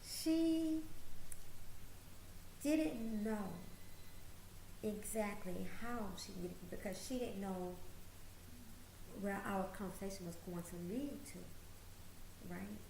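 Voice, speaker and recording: A woman's voice: medium pitch, slow, pauses often, warm tone, slight background noise. A woman in her late forties hosting a talk, who lectures emphatically and repeats her points for stress. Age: 40s. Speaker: host. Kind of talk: motivational talk show monologue. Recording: roomy echo, faint ambience.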